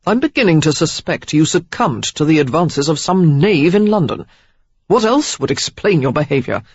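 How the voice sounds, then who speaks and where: Brief: woman doing an outraged, straitlaced young suitor, husky and clipped. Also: low-pitched, very animated, moderate pace, dry recording, exaggerated voice. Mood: stern, outraged. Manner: stern, clipped and reproachful. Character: stern, prudish young man, easily outraged. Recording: slightly dull lo-fi recording.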